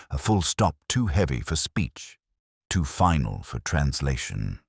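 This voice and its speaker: A man playing a weary, melancholy detective, narrating a mystery in the first person in a measured, contemplative, literary way. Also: sits low, dry recording, clean studio sound, exaggerated voice.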